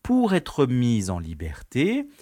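An actor playing a fussy, affable prison director in an audio drama, speaking formally with courteous condescension. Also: male voice, medium pitch, wildly swooping pitch, slow pace, mellow, no room sound, clean studio sound, full character voice. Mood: patronising. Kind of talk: French audio drama acting.

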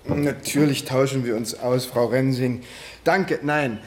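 A man's voice: medium pitch, fairly even intonation, measured pace, background noise, lightly acted. Casting: man as breezy, wry police detective, mid-range voice, natural radio-drama delivery, faint ambience. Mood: explanatory. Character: chatty, plain-spoken, wry male police detective.

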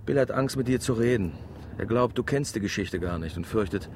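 An actor playing a wry, avuncular middle-aged biologist who teases, in a naturalistic radio drama. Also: male voice, fairly low pitch, lively intonation, a little fast, a little mellow, a little hiss or hum. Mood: conciliatory. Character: wry, avuncular biologist, teasing older family friend.

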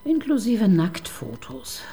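A woman's voice: fairly high pitch, big pitch swings, slow, vocal fry, dark tone, heavily acted. A woman playing a shrewd, brooding police chief inspector in a radio crime drama, wry and thoughtful. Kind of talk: naturalistic German radio drama dialogue.

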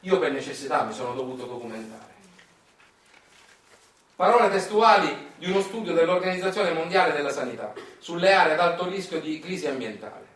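Sicilian guy doing a theatre monologue, dramatic delivery, highish voice, far from the mic in a roomy space. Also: animated, fairly quick, fairly bright tone. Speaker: stage monologist. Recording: echoey room, far from mic.

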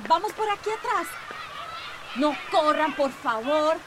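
Earnest, impatient female teacher for radio drama, higher voice, slight background noise. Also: expressive, a little slow. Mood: alarmed, urgent. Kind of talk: Spanish-language radio drama acting.

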